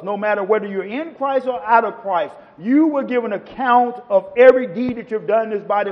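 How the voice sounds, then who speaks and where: A man's voice: sits high, long reverb tail, highs cut off. A man preaching a sermon to a congregation, quoting scripture with rising and falling emphasis. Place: church.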